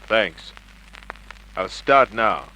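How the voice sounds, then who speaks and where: An actor playing a cool, wry private investigator, narrating in terse hard-boiled radio style. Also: male voice, fairly low pitch, lively intonation, extremely slow, pushed and bright, full character voice. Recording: noisy old radio drama recording.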